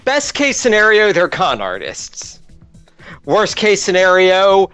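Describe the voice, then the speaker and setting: A man's voice: high-pitched, huge pitch swings, slow, fairly bright tone, clean tone, faint music behind. A man playing a tabletop game, arguing casually in an emphatic, exasperated, lecturing way. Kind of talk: casual argumentative game banter.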